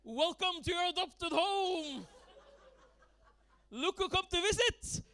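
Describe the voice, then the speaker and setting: A man voicing a gushing middle-aged adoptive father who greets loudly and stretches out his words. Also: very high-pitched, lively intonation, pitched above the performer's own voice, heavily acted. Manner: loud, gushing, drawn-out exclamations.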